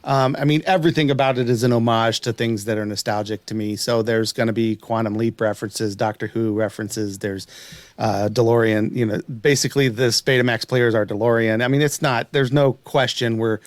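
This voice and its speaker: A male podcast host chats in a loose, enthusiastic ramble. His voice has a middle pitch. The sound is dry, with hardly any room on it. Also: steady tone, brisk, dry recording. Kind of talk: casual podcast chat.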